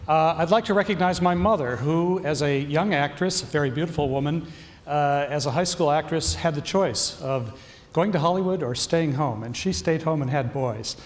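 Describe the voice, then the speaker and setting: Higher-voiced man accepting an award, echoey hall. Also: moderate pace. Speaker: award recipient. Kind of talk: informal acceptance speech. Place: large hall at awards ceremony. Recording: echoey hall, faint background noise.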